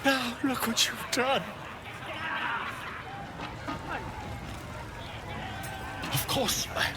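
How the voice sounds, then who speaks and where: An actor playing a flamboyant, self-important bard in a video game, with a grandiloquent theatrical manner. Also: male voice, extremely high pitch, a little slow. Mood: flustered, nervous. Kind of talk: video game dramatic acting. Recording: music behind the voice. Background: music.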